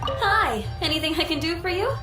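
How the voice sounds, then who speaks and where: A flustered, shrewd ore merchant. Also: female voice, very high voice, animated, normal speed. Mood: friendly. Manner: flustered, stammering then businesslike. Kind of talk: video game voice acting. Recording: roomy game audio with music.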